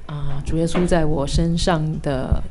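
A young woman from Taiwan, a theology student, giving a church testimony in measured phrases, pausing for her interpreter. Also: low voice, fairly even intonation, noisy background.

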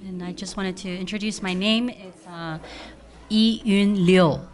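A woman interpreting a church testimony sentence by sentence, in a plain and steady way. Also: mid-range voice, a little slow. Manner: plain, steady rendering with fillers.

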